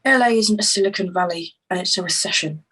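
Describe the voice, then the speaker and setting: An actress in a screenplay table read playing an anxious, impatient woman whose partner was abducted, sharp-tongued and exasperated. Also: on the low side, fast talker, slightly rough. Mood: bitter, weary.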